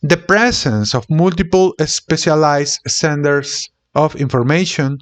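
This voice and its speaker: A man reading academic text aloud as an amateur narrator, with deliberate, halting phrasing. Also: fairly high pitch.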